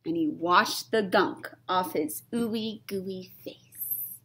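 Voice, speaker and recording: A young woman reading a children's storybook aloud, expressive and suspenseful, stretching key words and dropping toward whispers. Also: on the low side, slow, husky. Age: young adult. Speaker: read-aloud storyteller, teacher. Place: small classroom, indoors.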